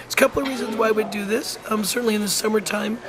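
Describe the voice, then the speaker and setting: A hoarse wolf center educator who is losing their voice, narrating a video informally with many pauses, ums and restarts. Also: mid-range voice, steady tone, over a noisy crowd. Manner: halting, frequent ums and restarts.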